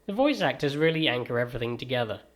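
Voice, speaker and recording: A man's voice: medium pitch, big pitch swings, fast talker, slight background noise. A man with a transatlantic accent narrating a scripted game review in a wry, dry-humoured manner.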